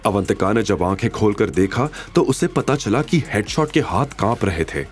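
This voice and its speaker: Low-voiced man narrating a dramatic story. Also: expressive, normal speed, faint music behind.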